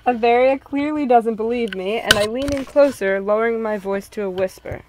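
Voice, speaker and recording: A woman reading first-person visual novel narration in an expressive, scripted storytelling style. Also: on the high side, normal speed, background noise.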